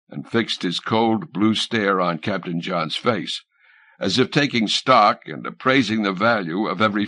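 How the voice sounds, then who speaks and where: Low, expressive male narrator, forceful and dry. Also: dry recording. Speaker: audiobook narrator. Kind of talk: scripted literary narration of a sea story. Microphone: close studio microphone, dry sound.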